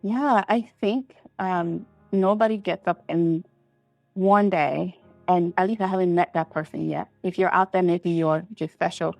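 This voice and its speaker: A woman from Liberia speaks as a guest on a talk show. Her voice is slightly low with noticeable creak, over faint music. She is reflective and hesitant, feeling her way to each point in a conversational style. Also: fairly even intonation, measured pace, a little mellow, dry recording, low-quality recording. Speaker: interview guest. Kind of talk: conversational interview answers.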